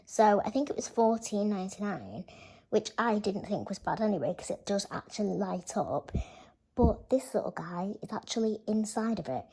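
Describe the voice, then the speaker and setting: A young woman vlogger chatting casually through a room tour, gushing and filling her talk with "like", her voice a little croaky. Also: mid-range voice, darkish tone, poor audio. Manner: chatty, gushing, says "like" a lot. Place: bedroom.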